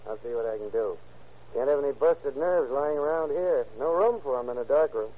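A man's voice: mid-range voice, fairly quick, very noisy, lightly acted. An actor playing a cheerful male photo lab technician in a vintage radio drama, joking with a police lieutenant. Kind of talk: 1940s radio acting. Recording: dull old radio recording with music.

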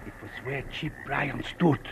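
An actor playing a polite, formal property owner with precise phrasing and quiet menace in an old-time radio drama. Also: male voice, medium pitch, unhurried, creaky. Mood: coolly gloating. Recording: noisy vintage radio recording.

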